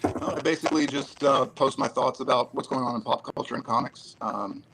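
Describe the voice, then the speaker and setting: A man appearing as a guest on a casual talk show, relaxed and brief. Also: medium pitch, a little fast, a little hiss or hum, low-quality recording. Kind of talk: casual chat.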